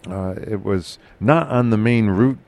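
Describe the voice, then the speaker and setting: A man hosting a casual local podcast chat, with a loud, carrying voice, talking haltingly with many fillers and restarts. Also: fairly low pitch, creaky, no room sound. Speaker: podcast host.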